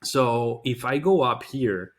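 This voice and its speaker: A man presenting a casual software walkthrough, explaining step by step in an informal way. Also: medium pitch, animated, slow. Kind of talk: casual screen-share product walkthrough.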